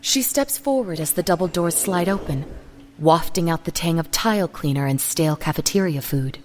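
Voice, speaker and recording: A woman's voice: on the low side, lively intonation, normal speed, a little hiss or hum. A woman narrator reading a scripted, dramatic story.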